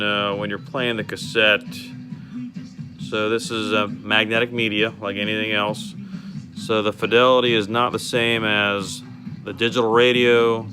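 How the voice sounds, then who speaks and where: A man giving an informal, step-by-step product demonstration to a customer, explaining how a piece of equipment works. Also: on the low side. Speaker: car radio restorer demonstrating a converted radio.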